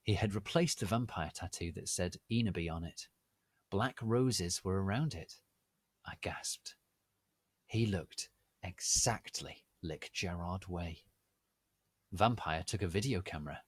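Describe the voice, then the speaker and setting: A man giving a dramatic, deadpan reading of a story in a deliberate, theatrical manner. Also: low-pitched, husky, no room sound. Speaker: reader of a fan fiction story.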